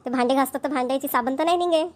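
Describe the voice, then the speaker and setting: Woman playing a chatty village sister, high-pitched. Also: racing, lightly acted. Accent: Vidarbha Marathi. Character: chatty, concerned middle-aged village sister. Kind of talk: amateur cartoon dialogue.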